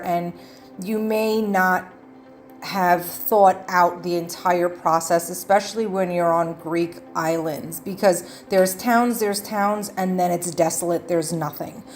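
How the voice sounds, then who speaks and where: Woman, travel vlogger, lowish voice, casual rambling narration, earnest, background music. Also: a little mellow.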